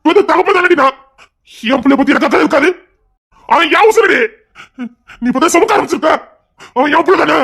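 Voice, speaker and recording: Man acting husky, fast-talking, insistent husband. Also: sits high, lively intonation, heavily acted. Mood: agitated pleading. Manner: insistent, repetitive, swinging from hushed coaxing to agitated scolding. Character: stubborn, controlling husband and anxious father-to-be, pleading and scolding. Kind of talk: emotional melodrama dialogue.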